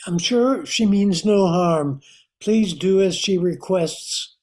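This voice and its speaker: Man playing a slow, mellow older scientist. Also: high voice. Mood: polite, reassuring. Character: kindly, honest mid-sixties male scientist and uncle. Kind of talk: amateur radio drama acting.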